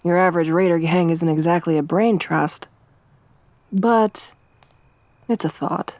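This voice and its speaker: A woman voicing a tough, wry young survivor woman in a soft-spoken ASMR roleplay, with a dry, teasing, gruff manner. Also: fairly low pitch, measured pace, no echo. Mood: low-key, wry.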